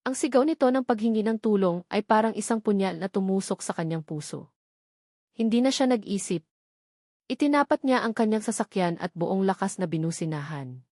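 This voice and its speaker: A woman's voice: mid-range voice, fairly quick, darkish tone, no echo, clean studio sound. A woman narrating a scripted romance drama in an emotive, suspenseful storytelling style.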